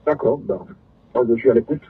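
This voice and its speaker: A man's voice: medium pitch, steady tone, brisk, breathy edge. A man speaking in a recorded phone conversation over a tinny line.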